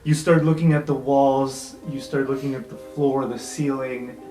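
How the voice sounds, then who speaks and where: A man's voice: mid-range voice, fairly even intonation, moderate pace. A man narrating an improvised tabletop game as game master, in a casual, conversational way with some swearing. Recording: roomy, music underneath. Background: background music.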